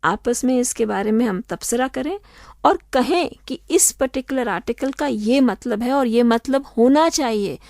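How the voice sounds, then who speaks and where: A woman speaking as an expert guest on a radio interview, calm and methodical as she lays out her points. Her voice sits a little high, and the studio sound is dry and close. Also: vocal fry, no echo. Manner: measured, explanatory, steady.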